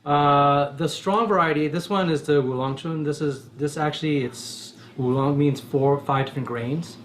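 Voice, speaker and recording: A man's voice: fairly high pitch, moderate pace, a little hiss or hum. A man with an American accent speaking casually as a bar co-founder, explaining things in an interview. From United States.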